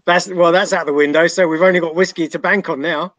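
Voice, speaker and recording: A man's voice: a little high, steady tone, fast talker, a bit bright, dry recording, dull audio. A man in his thirties hosting an online whiskey tasting, asking questions hesitantly with repeated words over a video call. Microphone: laptop webcam on video call.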